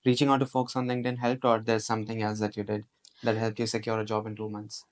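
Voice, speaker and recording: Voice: medium pitch, level tone, fast talker. Encouraging interview host. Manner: encouraging, recaps the guest's points. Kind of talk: interview questions.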